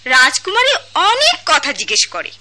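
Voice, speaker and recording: A woman's voice: high-pitched, wildly swooping pitch, normal speed, bright, forceful tone, a little hiss or hum, heavily acted. A woman with a Bangladeshi accent playing a gossipy palace maid in a radio drama, chatty, exclaiming and sing-song. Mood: excited, gossipy.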